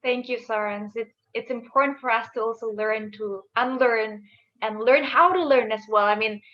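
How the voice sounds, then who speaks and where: Woman, panel moderator, fairly high voice, encouraging manner, dull lo-fi call sound. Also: moderate pace. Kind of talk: moderating an online panel discussion. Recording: dull, band-limited call audio.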